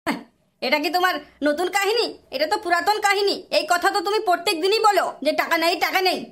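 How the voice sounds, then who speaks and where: A performer voicing a whiny, foul-mouthed young son in a comedy cartoon, with an artificially pitched-up voice. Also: fast talker, a bit bright. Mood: whiny, pleading. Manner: whiny, quarrelsome, swearing. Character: aggrieved, foul-mouthed young son pestering parents for money. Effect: artificially pitched-up cartoon voice filter.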